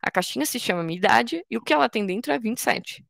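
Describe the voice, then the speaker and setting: Young woman from Brazil, coding workshop teacher; relaxed explainer, some vocal fry, dry close mic. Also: a little high, quick pace, dry recording. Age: 20s. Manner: patient, informal, explains step by step with restarts. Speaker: workshop instructor. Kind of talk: online coding tutorial.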